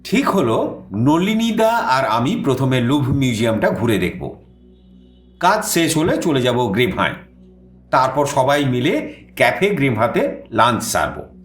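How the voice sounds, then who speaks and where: Music plays under a male raconteur in a suspense audio drama. He tells his travel adventure theatrically, with a very lively, mobile pitch and a roomy echo around the voice. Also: unhurried. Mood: relaxed, wry. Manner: expressive, theatrical. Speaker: storyteller. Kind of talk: dramatised audio story narration in first person. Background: background music.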